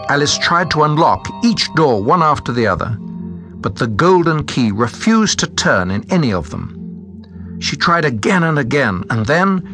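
A man narrating a children's story from a script, with expressive, suspenseful phrasing. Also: medium pitch.

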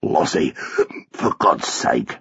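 A man's voice: on the low side, wildly swooping pitch, measured pace, creaky, sharp tone, husky, lo-fi sound, heavily acted. A man reading a story and voicing a glum, disapproving, stuffy husband who mutters and grumbles reluctantly. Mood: exasperated.

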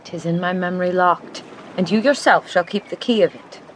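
Woman acting a gentle young noblewoman, faint ambience. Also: fairly low pitch, moderate pace, slight background noise, close to an everyday voice, rough recording. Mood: dutiful. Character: gentle, playful young noblewoman, dutiful daughter and sister. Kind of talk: Shakespearean audio drama acting.

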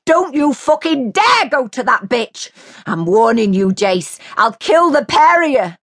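A woman's voice: fairly high pitch, a little slow, no echo, clean studio sound, pitched above the performer's own voice. A woman voicing an enraged woman who screams and swears at her departing partner. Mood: furious. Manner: shouting, screeching, foul-mouthed. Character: furious woman, shrieking at her cheating partner.

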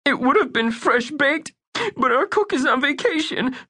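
Woman voicing a young boy, worried, whiny, very high, lively, bright. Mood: upset. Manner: high, pleading, rushed. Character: anxious young boy client, whiny and upset.

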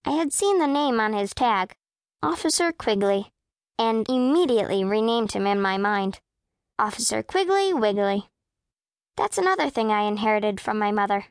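A woman's voice: mid-range voice, expressive, normal speed, clean tone, dry recording, clean studio sound, lightly acted. A woman voicing a proud, self-possessed eleven-year-old girl who narrates a children's audiobook in a precise, composed, matter-of-fact way. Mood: composed, wry. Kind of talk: first-person audiobook narration of children's fiction.

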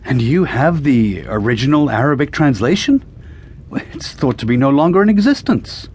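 Radio drama occultist's assistant, played by a man: literate, formal, uneasy, mid-pitched, ambient noise behind. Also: sing-song, moderate pace, darkish tone, lightly acted. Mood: curious, startled. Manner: formal, literate, hesitant when awed. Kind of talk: radio drama acting and first-person narration.

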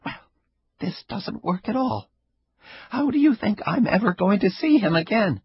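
A stubborn, sharp-tongued old grandmother, as a male storyteller puts her on, speaking in a husky, breathy voice pitched high with lively swoops. The sound is dull and lo-fi. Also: unhurried, no room sound, full character voice. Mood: aggrieved. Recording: dull lo-fi recording.

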